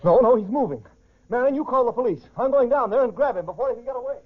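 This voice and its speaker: An actor playing a bold, protective husband with a breathy, husky voice in a 1940s radio drama. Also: male voice, very high voice, animated, fast talker. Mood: excited, urgent. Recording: muffled 1940s radio broadcast recording.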